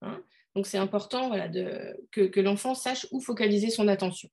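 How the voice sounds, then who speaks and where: A woman's voice: mid-range voice, moderate pace, gravelly, darkish tone. A woman leading an online teaching workshop over a video call, talking casually with many fillers and restarts. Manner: hesitant, many fillers, conversational. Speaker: workshop leader.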